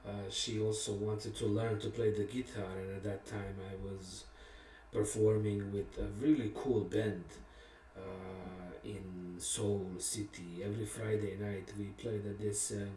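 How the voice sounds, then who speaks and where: A middle-aged man, a songwriter, casually telling the personal story behind one of his songs, reflective and candid, pausing often and laughing at himself. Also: on the low side, flat delivery, dark tone. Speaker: songwriter and musician. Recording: roomy sound from a distant mic.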